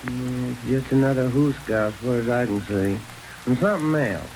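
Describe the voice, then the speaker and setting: Man acting wisecracking sidekick, medium pitch, folksy grumbling drawl, hot-headed, old radio drama, clear hiss and rain. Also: moderate pace, darkish tone, close to an everyday voice, low-quality recording. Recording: hissy old radio recording under rain.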